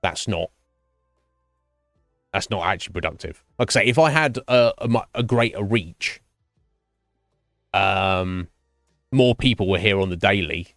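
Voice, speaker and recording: A man streaming live and chatting with viewers, thinking his answers through in a halting, thoughtful way. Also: low-pitched, expressive, creaky, fairly bright tone, no room sound, clean studio sound, faint music behind. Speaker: Twitch streamer.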